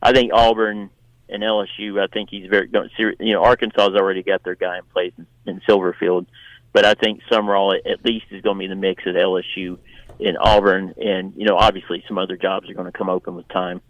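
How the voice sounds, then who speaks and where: A man giving his take as a guest journalist in an unscripted sports radio interview, conversational and halting, restarting phrases as he goes. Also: low voice, little pitch movement, no room sound, highs cut off.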